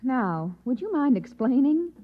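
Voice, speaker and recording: A skeptical housewife in a Golden Age radio play. She is composed and dry-tongued, with a fairly high, very mobile voice. The old recording sounds muffled. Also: very animated, measured pace, heavily acted. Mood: puzzled. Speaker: actress in a radio drama.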